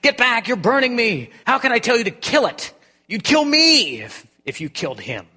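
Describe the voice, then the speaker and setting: A man's voice: mid-range voice, quick pace, in a large hall, natural voice. A man voicing a frightened, peevish male ghost who talks in a frantic, fretful way in a storybook reading.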